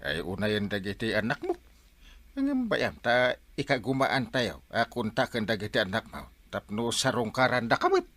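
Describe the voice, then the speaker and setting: Radio comedy lead: excitable, kindly Ilocano barangay captain, played by a man, extremely animated swings in pitch, exclamatory. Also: moderate pace, dry recording. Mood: concerned. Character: kindly, excitable village captain who helps everyone. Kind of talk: Filipino radio comedy drama acting.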